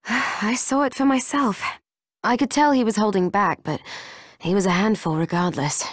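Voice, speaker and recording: A woman playing a resolute, composed young heroine in a video game, speaking in a measured, earnest way. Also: mid-range voice, no echo, clean studio sound, natural voice. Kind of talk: video game character acting. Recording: music behind the voice.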